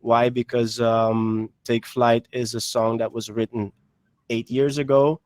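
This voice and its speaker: A man in his thirties gives a measured, earnest answer as a guest on a video call. His delivery stays flat and even. The sound is dry and close. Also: mid-range voice, no room sound. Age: 30s. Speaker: musician guest.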